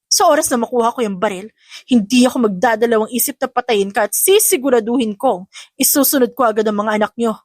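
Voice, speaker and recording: A woman's voice: fairly high pitch, fast talker, no echo, studio quality, close to an everyday voice. A woman voicing a vengeful, hateful young woman villain who threatens and curses in an audio drama. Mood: menacing. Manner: angry, threatening, cursing.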